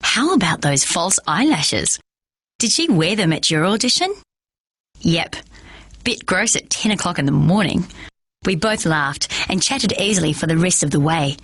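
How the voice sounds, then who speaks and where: A woman with a husky voice reading a children's novel aloud in steady first-person narration. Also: on the low side, normal speed, no echo. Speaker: storyteller.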